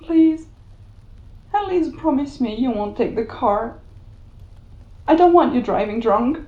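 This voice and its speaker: An unstable, desperate mother in a cinematic audio drama. Her high voice pleads slowly and haltingly, close to tears, in a muffled recording. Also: animated, dark tone, echoey room. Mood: anxious pleading.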